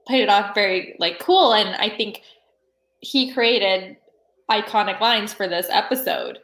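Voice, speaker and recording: A woman's voice: medium pitch, a little slow, a bit bright. Woman chatting informally in an echoey room. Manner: informal, peppered with "like". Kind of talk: casual chat about a TV show. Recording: roomy echo with faint noise.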